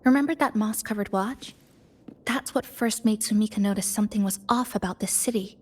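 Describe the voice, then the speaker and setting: An actress playing a composed young woman in a video game, speaking in a measured, reflective way. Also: medium pitch, darkish tone, close to an everyday voice. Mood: calm, explaining. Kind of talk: video game cutscene voice acting.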